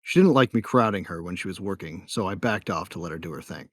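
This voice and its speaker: A man's voice: low voice, lively intonation, quick pace, no room sound, clean studio sound. A man playing a cocky, wry bounty hunter who narrates his own story in an audio drama. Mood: relaxed. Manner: wry, self-satisfied. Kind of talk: first-person dramatic narration.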